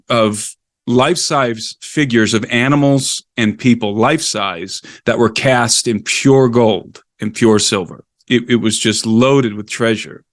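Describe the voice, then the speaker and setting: A man's voice: fairly low pitch, no room sound. Rambling male podcast guest, dry sound. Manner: digressive, explains history at length. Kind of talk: casual storytelling conversation.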